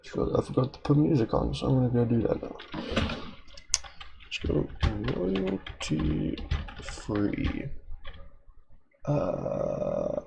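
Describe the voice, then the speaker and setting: A man's voice: mid-range voice, wildly swooping pitch, slow pace, vocal fry, pauses often, background noise. A man streaming from home, a little nasal while recovering from an illness, rambling to himself as he sets up his stream. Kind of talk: casual stream setup chatter.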